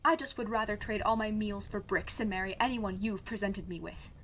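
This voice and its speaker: A woman's voice: mid-range voice, a little fast, a bit bright, a little hiss or hum, lightly acted. A woman playing a stubborn, sardonic young princess in an audio drama, speaking in a dry, defiant way. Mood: sullen, uneasy. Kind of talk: audio drama podcast acting.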